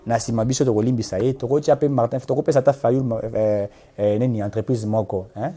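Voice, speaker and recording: A man's voice: medium pitch, fairly even intonation, fairly quick, mellow. A man with a Congolese accent speaking as a political activist in an interview, emphatic and impassioned, repeating points and switching between two languages.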